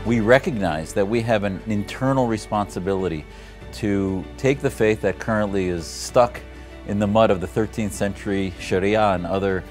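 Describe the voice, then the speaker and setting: A man's voice: lowish voice, normal speed, darkish tone, soft background music. A man speaking as an activist in a documentary interview.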